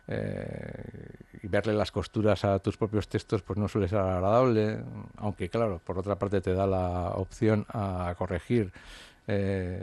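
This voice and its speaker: A man's voice: fairly low pitch, a little mellow, dry recording. A man, an author from the Basque Country, talking through his ideas in an interview, hesitant and reflective with many fillers and restarts. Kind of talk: interview conversation.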